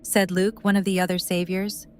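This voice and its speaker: A woman's voice: fairly low pitch, level tone, moderate pace. A woman reading scripted story narration as the narrator of an audio drama.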